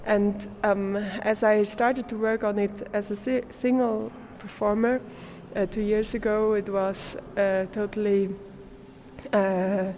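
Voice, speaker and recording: Slow, halting young woman from Switzerland. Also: mid-range voice, a little mellow, slight background noise. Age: young adult. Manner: hesitant, searching for words, with small laughs. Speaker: performance artist, art student being interviewed. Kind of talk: casual interview in non-native English.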